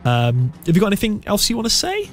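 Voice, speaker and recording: A man with a fairly high voice hosts a casual interview on his channel. He stays polite and mostly chimes in with short agreeing replies, with faint music playing quietly behind him. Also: huge pitch swings, fast talker. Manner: polite, gives frequent brief agreeing replies.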